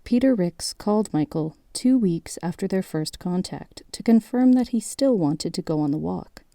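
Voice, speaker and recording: A woman playing the composed narrator of a grim documentary-style mystery, reading in a measured, matter-of-fact way. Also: lowish voice, expressive, gravelly, warm tone, no room sound, clean studio sound. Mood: measured, grave.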